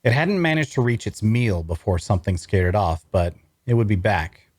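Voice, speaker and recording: A man playing a brooding adventurer, narrating his grim journey in a measured, deliberate way at a scripted table read. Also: on the low side, sing-song, a little mellow, no room sound, studio quality.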